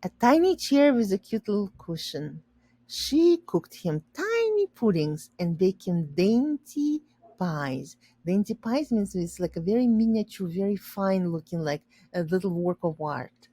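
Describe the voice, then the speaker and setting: A woman reading a children's story aloud and pausing to explain words simply for learners. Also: medium pitch, sing-song, dark tone, dry recording.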